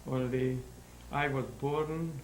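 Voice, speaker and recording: A man in his nineties from Hungary, speaking in halting, searching sentences as an interview guest. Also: mid-range voice, fairly even intonation. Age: 90s. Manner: halting, searching for words, non-native grammar. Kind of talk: interview answers. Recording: roomy echo with faint hum.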